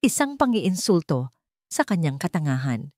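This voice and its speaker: A woman reads a scripted dramatic story in a measured, dramatic way. Her voice sits a little low. The recording is dry and close, with no room sound. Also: sing-song, darkish tone, dry recording.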